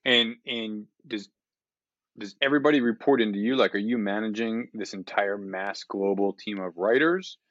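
A man's voice: lowish voice, moderate pace, fairly bright tone, dry recording. A man hosting a podcast interview, asking follow-up questions and summing up his guest's answers in a friendly, casual way. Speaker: podcast host. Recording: dull, dry lo-fi sound.

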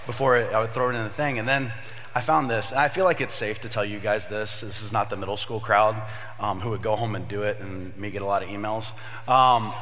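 A man in his thirties, a pastor with a slightly raspy voice from a cold, giving a casual, joking sermon to young adults. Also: mid-range voice, fairly bright tone, in a large hall. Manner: chatty storyteller, jokey asides, many fillers.

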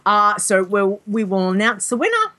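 A woman co-hosting a gaming podcast, calmly walking listeners through contest details. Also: mid-range voice. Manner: unhurried, explains contest details step by step. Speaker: co-host. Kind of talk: casual podcast chat.

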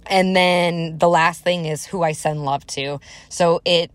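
A woman's voice: lowish voice, steady tone, measured pace, no room sound. A woman in her thirties hosting a solo motivational podcast, speaking straight to her listeners in an encouraging, conversational way. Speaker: podcast host.

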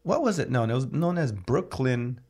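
A man, chatting casually as a podcast guest in a halting, slangy, playful storytelling way. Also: medium pitch, lively intonation, darkish tone. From born in Guatemala, raised in Los Angeles. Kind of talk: casual chat.

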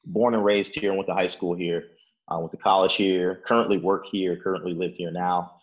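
A young man with a very deep, low voice talks through an interview question in a loose, meandering way, peppering his sentences with "like" and "uh" and restarting often. He's from Chicago and speaks from his apartment. The recording sounds a bit muffled and dull. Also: little pitch movement, normal speed. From Chicago, Illinois. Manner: rambling, many fillers like "like" and "uh", restarts. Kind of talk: casual interview, storytelling.

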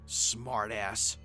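A man playing a brusque, irritable soldier, terse and gruff, in a sci-fi war audio drama. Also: on the low side, bright, forceful tone, heavily acted. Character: brusque, irritable soldier among retreating troops.

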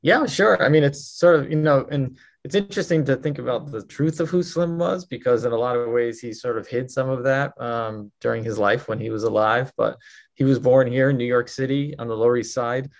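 A man's voice: mid-range voice, brisk, gravelly. A man answering interview questions at length, telling a life story fluently with many fillers. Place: New York.

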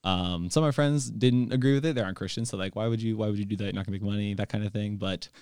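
Very low-voiced eager young man. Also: racing, a little mellow, clean tone, no echo, studio quality. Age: young adult. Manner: earnest, enthusiastic, fillers and restarts. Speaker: church intern. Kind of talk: casual chat.

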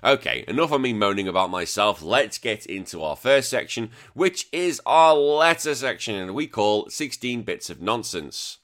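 A man hosting a retro gaming podcast in casual chat, a bit croaky, blunt and joking, swearing freely. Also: lowish voice, lively intonation, normal speed, hardly any pauses, a bit bright. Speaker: podcast host.

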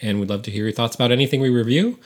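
A man co-hosting a movie review podcast, speaking into a podcast microphone. He talks in a relaxed, joking way, chuckling between his remarks. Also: mid-range voice, rapid-fire. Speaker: podcast co-host. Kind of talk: casual chat.

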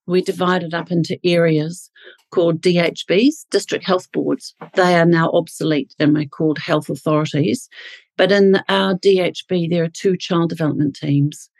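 Middle-aged New Zealand woman, lowish voice, thoughtful, self-correcting, dry sound. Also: darkish tone, dry recording. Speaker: pediatric physiotherapist guest. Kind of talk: interview answers.

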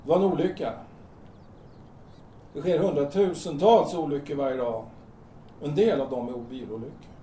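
A man acting a calm, persistent carpenter who questions a desperate stranger bluntly in a naturalistic audio drama. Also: fairly high pitch, long pauses, a little hiss or hum, natural voice. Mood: calm, consoling. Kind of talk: naturalistic radio drama dialogue. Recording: roomy, distant microphone.

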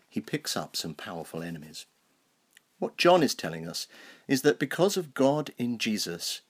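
A clergyman with a fairly low, noticeably husky voice. He speaks as if from prepared notes, measured and explanatory, in the style of a sermon or a reading from scripture. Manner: measured, explanatory, rhetorical questions. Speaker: clergyman, Anglican canon.

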